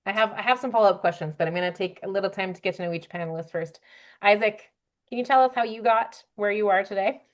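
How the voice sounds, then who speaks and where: A woman's voice: mid-range voice, quick pace. A woman moderating a panel discussion as its host, introducing and questioning the guests.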